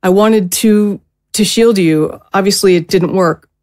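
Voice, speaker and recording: A woman playing a gentle, protective middle-aged mother in an audio drama, speaking in a soothing, careful way. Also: medium pitch, measured pace, lightly acted. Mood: regretful.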